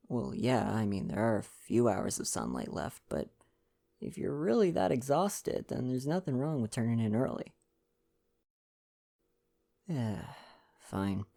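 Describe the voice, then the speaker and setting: Gentle young man with a creaky, mellow voice. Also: mid-range voice, very animated, unhurried, long pauses. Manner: gentle, playful, affectionate. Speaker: ASMR roleplay performer and reader. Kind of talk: intimate ASMR boyfriend roleplay, casual chat and novel reading.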